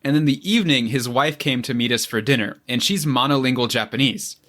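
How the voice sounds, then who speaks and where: A man's voice: mid-range voice, brisk, fairly bright tone. A man co-hosting a casual podcast, chatting and sharing anecdotes in a conversational way.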